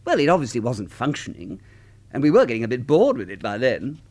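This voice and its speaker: An actor playing a jovial, flippant British husband in a radio drama, with breezy, jokey, old-fashioned turns of phrase. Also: male voice, medium pitch, huge pitch swings, brisk, darkish tone. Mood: breezily explaining. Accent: British English. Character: jovial, flippant middle-class British husband, joking electronics man.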